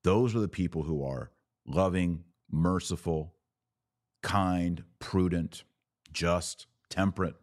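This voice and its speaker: An American man in middle age speaks in a very deep bass register. He delivers religious commentary like a podcast host, emphatic and preachy but with folksy, conversational phrasing. The sound is dry and close to the microphone. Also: slow, darkish tone, no echo. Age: middle-aged.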